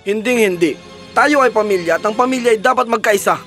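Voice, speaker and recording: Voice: mid-range voice, brisk, fairly bright tone, soft background music, pitched above the performer's own voice, natural voice. A narrator playing a loving, weary woodcutter father in a fairy tale cartoon, tender and earnest. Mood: troubled, firm.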